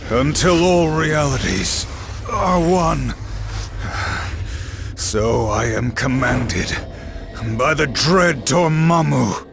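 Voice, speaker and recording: A male actor playing a grave, self-assured sorcerer hero in a video game, measured and theatrical. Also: fairly high pitch, expressive, gravelly, background noise, exaggerated voice. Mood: solemn, commanding. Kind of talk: video game cutscene acting.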